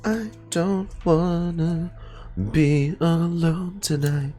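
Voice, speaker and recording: A man doing casual reaction commentary as a streamer, muttering to himself and haltingly reading bits of game dialogue aloud. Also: on the high side, steady tone, mellow. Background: faint game music.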